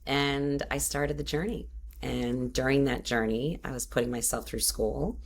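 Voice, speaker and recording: Very low-voiced woman in her fifties. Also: fairly even intonation, measured pace. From Boston area. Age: 50s. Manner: warm storytelling, frequent fillers and restarts. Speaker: guest entrepreneur. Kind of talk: casual interview chat.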